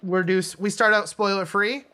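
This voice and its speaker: A man with a notably high-pitched voice co-hosts a film podcast. He talks in a casual, conversational way about movies. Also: steady tone, moderate pace, sharp tone, smooth.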